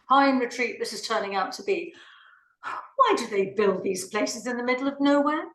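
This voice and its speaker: A woman's voice: fairly high pitch, expressive, unhurried, fairly bright tone. A woman playing an imperious, irritable wealthy lady in a play reading, bossy and haughty as she gives orders and complains. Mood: imperious, fed up. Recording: roomy, echoing room.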